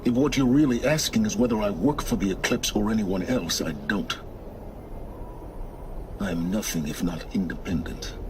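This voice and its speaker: An actor playing an aloof, impatient, secretive middle-aged wanderer and scholar in a video game, speaking in a measured, condescending, curt way. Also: male voice, lowish voice, noisy background, lightly acted. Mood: guarded, explanatory. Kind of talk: video game cutscene dialogue.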